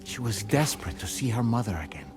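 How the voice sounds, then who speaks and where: A courtly, erudite man living as a doll in a video game. His voice is on the low side, with formal, measured, wry phrasing, and music plays clearly behind it. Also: sing-song. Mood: mournful. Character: erudite, courtly widower, a soul inhabiting a doll. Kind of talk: video game cutscene acting.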